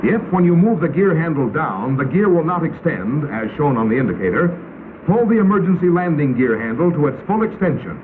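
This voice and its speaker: A man's voice: on the high side, very animated, fairly quick. An American man narrating a 1950s military instructional film in an authoritative, procedural manner. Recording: tinny, band-limited, noisy 1950s film soundtrack.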